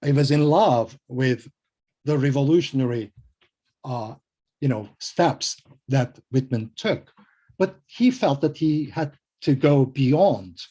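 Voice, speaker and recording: A man's voice: mid-range voice, takes it slowly, dark tone, husky. A Turkish-accented man who is a translator and poet, speaking as a guest in a panel talk, hesitant and full of fillers. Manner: hesitant, many fillers and restarts, self-deprecating. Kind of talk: conversational panel interview.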